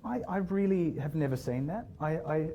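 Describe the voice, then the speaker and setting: Man, guest speaker in a lecture room, mellow tone, restarts sentences, light background noise. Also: fairly high pitch, lively intonation, moderate pace. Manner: hesitant, repeats words, friendly storytelling. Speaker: guest speaker, hotel general manager. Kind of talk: casual talk answering audience questions.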